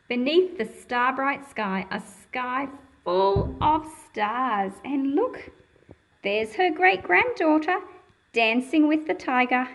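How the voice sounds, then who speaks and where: A woman's voice: high-pitched, rough recording. A woman reading a picture book aloud to young children and explaining it in simple words, in a patient, teacherly manner.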